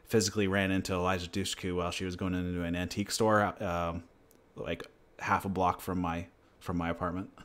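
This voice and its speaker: A man from New Hampshire hosts a relaxed chat on his channel. His voice sits a bit low, and he rambles from one story to the next at an easy, unhurried pace. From New Hampshire, USA. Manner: rambling, laid-back storytelling. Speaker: channel host. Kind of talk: casual livestream chat and Q&A.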